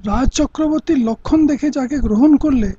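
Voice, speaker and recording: A man's voice: extremely high pitch, normal speed, mellow, no room sound. A man playing a principled, defiant older royal uncle in an audio play, with earnest, poetic oratory. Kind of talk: Bengali radio play acting. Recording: dull lo-fi audio with music.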